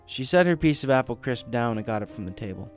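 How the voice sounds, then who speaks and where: A man's voice: mid-range voice, animated, fast talker, soft background music. A man reading a story aloud as scripted audiobook narration. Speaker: fan fiction audiobook reader.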